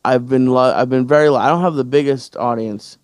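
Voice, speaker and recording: A man hosting a casual podcast, talking in halting bursts with many restarts and fillers. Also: medium pitch, no room sound, studio quality. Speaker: podcast host and stand-up comic.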